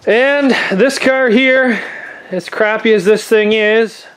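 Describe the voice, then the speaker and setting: A man's voice: high-pitched, slow pace, fairly bright tone, clear, resonant tone, rough recording. A young man with a stuffy, sniffly cold, chatting casually in a vlog in a laid-back, rambling way with lots of fillers. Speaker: demolition derby builder and vlogger. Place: home workshop or garage.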